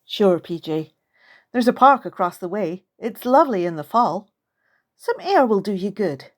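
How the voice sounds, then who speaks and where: A woman voicing a weary but caring aunt in an audiobook, gentle and concerned. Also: medium pitch, big pitch swings, moderate pace. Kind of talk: audiobook character dialogue. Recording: music under the voice.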